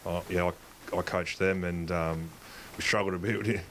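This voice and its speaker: A man talks as a local football coach in a post-match interview. His voice sits low and runs flat, while his speech comes quickly and stumbles through fillers and restarts. A faint hiss sits under the recording. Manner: halting, many fillers and restarts.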